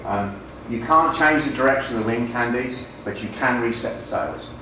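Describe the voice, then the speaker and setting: A British man gives an informal little speech as a guest, in a wry, slightly hesitant manner. He is heard at a distance from the mic in a very echoey room, with clear background noise. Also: mid-range voice, normal speed. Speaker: guest speaker, business consultant. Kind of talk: informal short address to a group.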